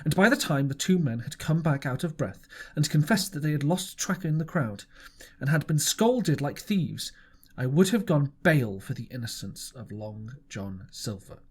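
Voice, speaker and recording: A man's voice: on the high side, moderate pace, slightly rough. Man reading a storybook aloud. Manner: expressive storytelling. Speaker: audiobook reader.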